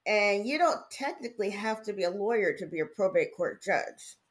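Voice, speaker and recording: A middle-aged woman who is a judge, raised in Connecticut, telling her story in a relaxed, articulate way as an interview guest. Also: medium pitch. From Indiana, raised in Connecticut. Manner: articulate, measured, chatty with laughs.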